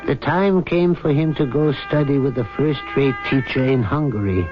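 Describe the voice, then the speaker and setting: An actor playing the narrator of a radio folk tale, telling it in a grave, measured, literary way. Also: male voice, medium pitch, soft background music, natural voice. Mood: solemn. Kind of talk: old-time radio drama narration. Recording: dull old radio broadcast.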